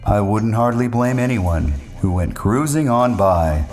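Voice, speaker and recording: A man with a fairly low voice narrates a first-person story. His phrasing is ornate and wordy, wandering as he goes, with faint music underneath. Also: lively intonation, unhurried, darkish tone.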